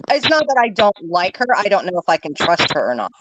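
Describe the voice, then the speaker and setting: A woman's voice: on the low side, sing-song, fast talker. A woman who is a guest on a livestream, speaking in an outspoken, blunt way. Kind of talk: casual livestream chat. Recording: muffled, noisy call audio.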